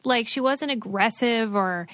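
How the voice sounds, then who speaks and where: A woman's voice: a little high, unhurried, a bit bright, dry recording. A young woman co-hosting a podcast, telling stories in a chatty, emphatic way. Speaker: podcast co-host. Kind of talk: casual chat with a read ad.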